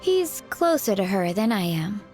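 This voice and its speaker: A woman's voice: medium pitch, very animated, unhurried, darkish tone, faint music behind. A woman playing a polite, composed, matter-of-fact undertaker in a video game, speaking formally and courteously. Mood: polite, reserved. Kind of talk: video game character dialogue.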